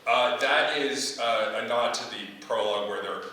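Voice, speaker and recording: A man's voice: mid-range voice, normal speed, sharp tone. A man thinking aloud as a playwright in an unscripted discussion, hesitant and often rewording as he answers feedback. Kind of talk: unscripted post-reading discussion. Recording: echoey room, distant mic, background noise.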